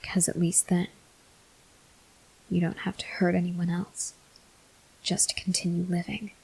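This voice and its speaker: A woman plays a tormented vampire soldier in an intimate ASMR roleplay. The voice is low and husky, often sinking into whispers, with a gravelly creak. Every phrase comes out grave and halting, stern and weary. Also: flat delivery, darkish tone, full character voice, poor audio. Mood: bleak, brooding. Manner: slow, halting, grave delivery. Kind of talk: ASMR roleplay, slow dramatic monologue.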